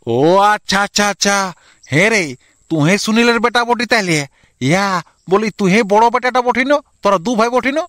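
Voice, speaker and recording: A man plays a middle-aged village uncle from a comic cartoon, with a rural Purulia Bengali accent. His voice is high and forceful, very dry and close. He is blunt and bossy, questioning and repeating himself. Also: normal speed, no echo. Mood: nosy, questioning. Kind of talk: amateur comic cartoon dialogue.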